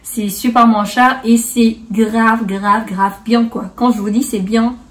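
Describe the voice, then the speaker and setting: A woman's voice: fairly high pitch, steady tone, unhurried, far from the mic. A woman hosting a casual beauty tutorial in a playful, chatty way, slipping bits of English into her French. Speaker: beauty vlogger, host.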